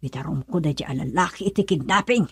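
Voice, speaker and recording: A woman's voice: low voice, fairly quick. A woman playing an indignant, accusing mother in an Ilocano radio drama, scolding and insistent. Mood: agitated. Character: indignant, accusing mother of a runaway young daughter.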